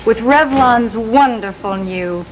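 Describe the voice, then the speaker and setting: A woman with a fairly high voice delivers a scripted fifties television commercial. The old recording is dull, with faint noise behind her. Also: slow pace. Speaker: commercial spokeswoman. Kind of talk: scripted 1950s live TV commercial. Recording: dull, faintly noisy 1950s TV broadcast.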